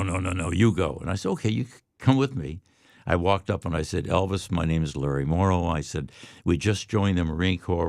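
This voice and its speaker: An older man from Detroit, a radio veteran guest telling relaxed, rambling stories with easy laughs. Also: low-pitched, gravelly, no echo, studio quality. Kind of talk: casual storytelling.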